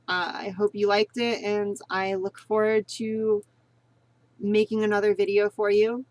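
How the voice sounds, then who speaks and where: A woman's voice: mid-range voice, flat delivery, measured pace, darkish tone, no echo. A woman giving a casual spoken tutorial on voice training, rambling and repeating herself, earnest and encouraging. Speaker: vlogger teaching voice training.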